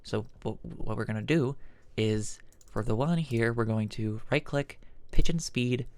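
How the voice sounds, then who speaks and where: A man hosting an informal software tutorial, thinking aloud haltingly as he demonstrates each step. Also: mid-range voice, no echo. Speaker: tutorial host. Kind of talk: casual screen-recorded software tutorial.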